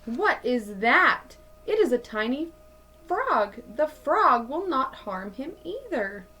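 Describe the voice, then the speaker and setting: A woman in her thirties reading a picture book aloud to children like a teacher, with deliberate, dramatic emphasis. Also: high-pitched, sing-song, pushed and bright, slight background noise. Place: small indoor room at home.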